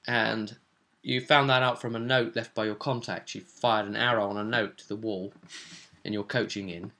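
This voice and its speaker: Guy game master recapping a tabletop rpg, kinda rambly, crisp bright voice w some vocal fry. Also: lowish voice, steady tone, moderate pace. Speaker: host and game master of a tabletop role-play show. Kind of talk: casual recap of a tabletop role-play session.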